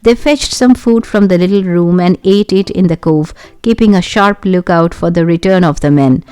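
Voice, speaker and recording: A woman narrating a children's adventure story in an expressive, clearly enunciated way. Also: on the low side, moderate pace, no room sound. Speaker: audiobook narrator. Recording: music behind the voice. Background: background music.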